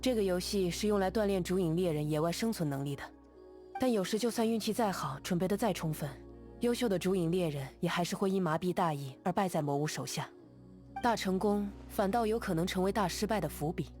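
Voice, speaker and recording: Game heroine, calm young swordswoman, voiced by a woman; measured, quietly confident, lowish voice. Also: faint music behind, lightly acted. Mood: composed. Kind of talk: game character voice lines.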